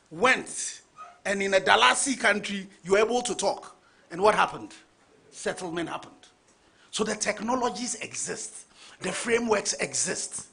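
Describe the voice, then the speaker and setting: A man's voice: high voice, gravelly, bright, forceful tone, breathy edge. A man answering as a panelist, engaging the audience with questions.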